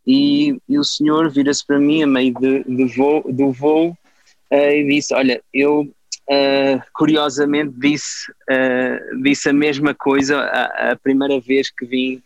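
A man's voice: medium pitch, little pitch movement, slow, dry recording, lo-fi sound. A man in his thirties from Portugal with a European Portuguese accent, answering an interview in a hesitant way with many fillers and restarts. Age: 30s. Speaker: interview guest. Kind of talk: casual conversational answers.

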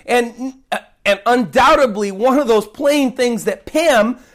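A woman's voice: a little high, slow. A woman preaching an emphatic sermon while recovering from a cold and fighting to keep her voice. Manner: emphatic, repeats key points, swings between loud stresses and soft drops.

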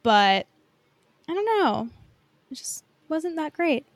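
Female co-host, higher register, unhurried, tentative casual chat, dry sound. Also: animated, no room sound. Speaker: podcast co-host.

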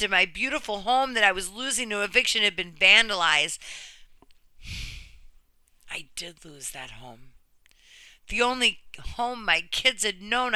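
A woman in her thirties reading a scripted first-person personal story in an earnest, deliberate way with emphatic phrasing. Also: medium pitch, pushed and bright, clean tone, no room sound. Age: 30s.

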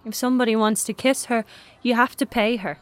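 Sullen, high-voiced schoolboy, acted by a woman. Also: fairly quick, close to an everyday voice. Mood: matter-of-fact. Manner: terse, flat answers. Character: young schoolboy son, sullen, evasive, matter-of-fact. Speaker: actor in audio drama. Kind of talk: naturalistic radio drama acting.

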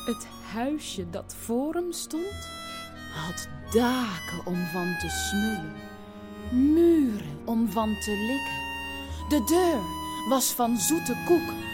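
A woman's voice: on the high side, big pitch swings, over music. A woman narrating a family radio play as a theatrical storyteller, dramatically and phrase by phrase. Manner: dramatic, deliberate phrase-by-phrase delivery.

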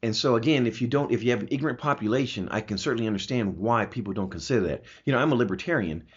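A man with a husky voice hosting a talk show, explaining his opinions emphatically and posing rhetorical questions in a conversational way. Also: medium pitch, rapid-fire. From Germany. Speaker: talk show host answering listener questions. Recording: dull, lo-fi audio.

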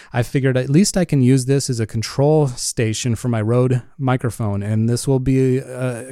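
A man's voice: medium pitch, mellow, clear, resonant tone, dry recording. Rambling man on a studio podcast mic. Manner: rambling, full of "you know" fillers. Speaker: podcast host and music producer. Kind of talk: casual solo podcast talk. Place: home music studio. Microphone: studio condenser microphone.

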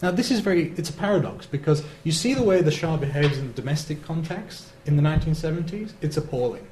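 A man giving an analytical academic talk, measured and steady, with fillers. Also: fairly high pitch. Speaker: lecturer on Iran. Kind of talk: academic lecture answer. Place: lecture hall. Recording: roomy hall, faint noise.

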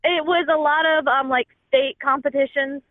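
A woman in her twenties calling in by phone to audition as a singer, talking casually and haltingly. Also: sits high, fairly even intonation. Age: 20s. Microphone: phone call. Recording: tinny, band-limited phone line.

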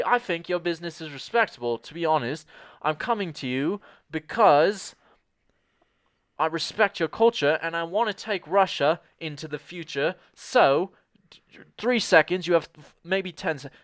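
A man in an improv comedy scene playing a cocky, clueless American who pitches a spy movie, rambling and brash and talking over people. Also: a bit bright, dry recording, studio quality, lightly acted.

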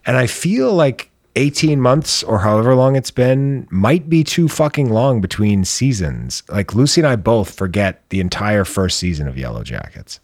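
A man's voice: fairly low pitch, very animated, moderate pace, few pauses, no room sound, studio quality. A man co-hosting a podcast, chatting casually in a laid-back, complaining way and swearing freely. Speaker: podcast co-host. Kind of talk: casual chat.

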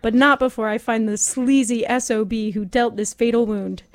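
A woman's voice: fairly high pitch, steady tone, moderate pace, no echo, studio quality, natural voice. A woman reading as a hard-boiled noir detective narrator in a melodramatic storytelling way. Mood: dramatic.

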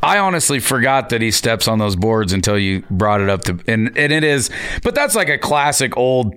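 A man's voice: mid-range voice, big pitch swings, normal speed, fairly bright tone, clear, resonant tone. A man in his forties co-hosting a podcast in casual chat, laid-back with dry teasing. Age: 40s. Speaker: podcast co-host.

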